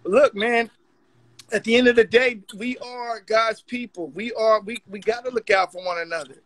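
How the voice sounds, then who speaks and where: Middle-aged man, high bright voice, dry sound, emphatic informal motivational talker. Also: steady tone, moderate pace, no echo. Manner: emphatic, urging. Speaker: guest mentor, magazine editor.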